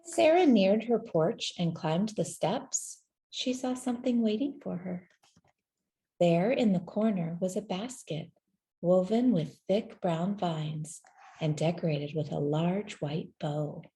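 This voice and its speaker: A woman reading a children's story aloud in a gentle, measured way, with deliberate pauses between phrases. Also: lowish voice. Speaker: storybook reader. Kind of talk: scripted children's story narration, read aloud.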